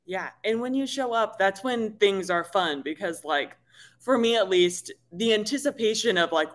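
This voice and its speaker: Deep-voiced woman from Missouri, nervous and laughing guest. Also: fairly bright tone. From Missouri, USA. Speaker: guest, bikini athlete. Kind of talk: casual interview chat.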